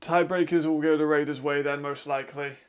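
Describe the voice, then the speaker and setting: A man hosting a watch-along, giving casual live commentary with a raspy voice, chatty and laughing often. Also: on the high side, fairly even intonation, fairly quick. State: hay fever.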